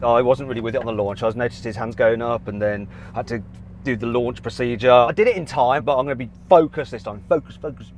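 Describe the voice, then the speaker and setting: A man with a Midlands accent presenting a car show, talking in an excitable, animated way and laughing in disbelief. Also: mid-range voice, fast talker, muffled recording, a little hiss or hum. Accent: English Midlands. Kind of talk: excitable on-camera commentary.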